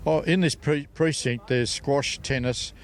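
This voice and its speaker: An older Australian man giving halting, unscripted answers as a club representative in an interview. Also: medium pitch, steady tone.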